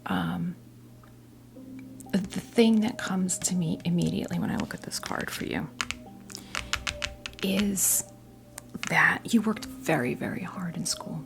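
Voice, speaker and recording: An American woman in her fifties giving a tarot reading as ASMR role play, whispering softly in a halting, gently reassuring way. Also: lowish voice, over music. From New Jersey, US. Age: 50s. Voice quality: whispered, breathy soft voice. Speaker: ASMR performer playing a tarot reader.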